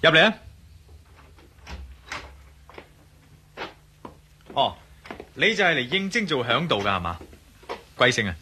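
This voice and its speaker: An actor playing a confident, determined adventurer hero in a Cantonese radio drama, breezy and assured, with a Hong Kong Cantonese accent. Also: male voice, mid-range voice, big pitch swings, fairly quick, pushed and bright, a little hiss or hum.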